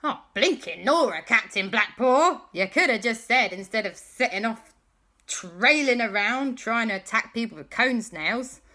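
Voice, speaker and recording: A woman voicing excitable, bold young boy adventurers in a children's story, exclaiming eagerly. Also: moderate pace, full character voice. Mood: exasperated. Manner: high-pitched, exclaiming, eager.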